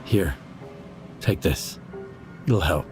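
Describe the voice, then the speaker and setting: An actor playing a steady, terse wanderer in a video game, gruff and reserved. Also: male voice, low-pitched, drawn-out words, music in the background, heavily acted. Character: terse, steady male wasteland wanderer, gruff but helpful. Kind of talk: dramatic video game dialogue.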